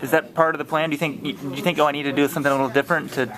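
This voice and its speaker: A man in his late thirties fires off quick interview questions in a somewhat higher voice. He speaks fast and casually. A clear crowd hums behind him. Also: little pitch movement, rough recording. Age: 30s.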